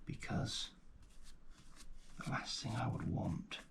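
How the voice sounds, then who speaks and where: A man speaking softly and gently in an ASMR roleplay as a guide, often slipping into a breathy whisper, murmuring without hurry. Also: on the low side, fairly even intonation, slow pace, a little hiss or hum. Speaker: host playing a paleontologist guide. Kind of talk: ASMR roleplay, soft narration of a fossil dig.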